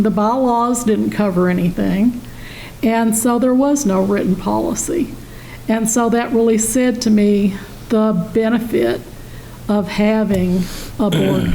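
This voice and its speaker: Lady on a board explaining stuff at a meeting, soft mellow tone, bit of background noise. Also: on the high side, poor audio. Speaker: utility board member. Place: indoor meeting room.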